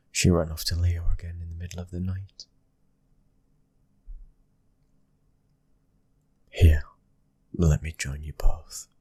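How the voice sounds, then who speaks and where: Guy acting as a devoted mafia husband, british, super low breathy voice, half whispering, soft asmr roleplay, very husky. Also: flat delivery, normal speed, dry recording. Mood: soothing, hushed. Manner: hushed, often whispering, gentle and intimate. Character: tender, devoted mafia man, husband and proud father.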